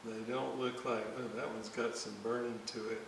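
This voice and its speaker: A man giving casual hands-on repair commentary, thinking aloud in a hesitant, halting way as he works. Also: mid-range voice, level tone. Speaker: electronics restorer narrating a repair video. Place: indoor room at a workbench. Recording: very echoey room, distant mic, clear hiss.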